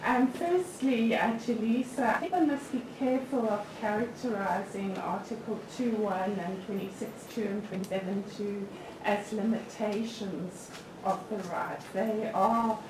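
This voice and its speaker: Measured woman in a noisy echoey hall. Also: mid-range voice, recorded from a distance. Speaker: panel participant. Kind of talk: conference discussion. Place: conference hall.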